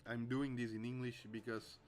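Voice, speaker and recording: A man's voice: mid-range voice, moderate pace. A man with a Dutch accent, a native Dutch speaker recovering from a flu, chatting casually and chuckling while he streams his mask making. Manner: relaxed, chuckles often, reads chat aloud. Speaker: cosplay mask maker streaming. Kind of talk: casual livestream chat while crafting. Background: faint music.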